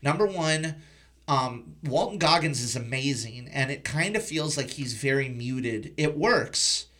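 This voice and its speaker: A man's voice: mid-range voice, lively intonation, unhurried, a bit bright. A man hosting a podcast, chatting casually and informally about films in a loose conversational way. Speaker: podcast host. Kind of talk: casual film review chat.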